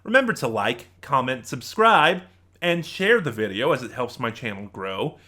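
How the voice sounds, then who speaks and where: Bright, animated man reviewing to viewers. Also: mid-range voice, moderate pace. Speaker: YouTube audio drama reviewer. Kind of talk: unscripted opinionated review commentary to viewers.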